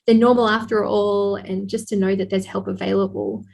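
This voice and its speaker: A woman's voice: medium pitch, little pitch movement, moderate pace, vocal fry, darkish tone. A woman speaking as a therapist guest on a podcast, explaining earnestly and laughing lightly at times. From Australia. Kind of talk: podcast interview.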